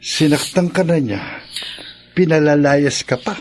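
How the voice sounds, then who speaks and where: A man's voice: a little high, unhurried, a little mellow, slight background noise, lightly acted. A young man's voice, an actor playing a vengeful boyfriend in a radio drama, brooding and threatening. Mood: resentful.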